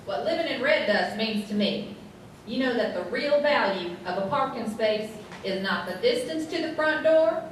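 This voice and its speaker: A woman playing a sassy small-town local in a live radio comedy sketch, reciting her lines deadpan. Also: on the high side, normal speed, a little hiss or hum, close to an everyday voice. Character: sassy small-town townswoman trading comic jabs. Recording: echoey hall, distant live stage.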